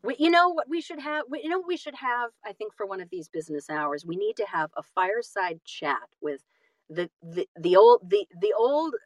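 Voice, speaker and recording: A woman chatting casually about her trade in an emphatic, animated way, often doubling back and repeating words. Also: mid-range voice, moderate pace, no room sound. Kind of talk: casual conversation about the audiobook business.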